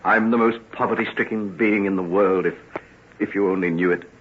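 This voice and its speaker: An actor playing a troubled, remorseful gentleman doctor, earnest and theatrical, in an old-time radio drama. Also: lowish voice, steady tone, brisk, close to an everyday voice. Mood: anguished, remorseful. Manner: earnest, theatrical, formal phrasing. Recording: muffled, noisy old radio recording.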